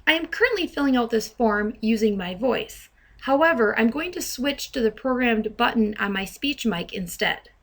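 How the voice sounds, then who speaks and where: A woman trainer narrating an instructional software demonstration, speaking deliberately and enunciating dictated commands. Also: on the high side, lively intonation. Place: voice-over to a screen recording.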